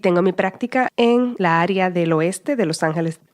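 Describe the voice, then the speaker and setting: A woman in her thirties with a Puerto Rican accent, hosting her own podcast and reading a calm, measured, scripted introduction. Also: on the low side. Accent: Puerto Rican Spanish. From Puerto Rico. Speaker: podcast host, psychologist. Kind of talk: scripted solo podcast monologue.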